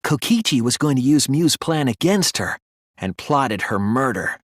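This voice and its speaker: An earnest young male detective in a video game lays out his reasoning with care. His mid-pitched voice moves expressively and has a soft, husky edge. The recording is dry and close, and the delivery is thoughtful and analytical. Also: sing-song, no room sound. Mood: measured reasoning. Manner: deliberate, earnest explaining. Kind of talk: scripted video game voice acting, deduction speeches.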